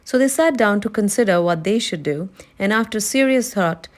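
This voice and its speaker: A woman's voice: mid-range voice, animated, normal speed. A woman narrating a children's novel as a read-aloud storyteller. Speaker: storybook reader.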